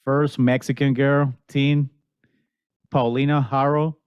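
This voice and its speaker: A man's voice: mid-range voice, little pitch movement, slow pace, a little mellow, smooth, highs cut off. A man hosting a podcast, chatting in a friendly way, often laughing and repeating words. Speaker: podcast host. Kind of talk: casual chat.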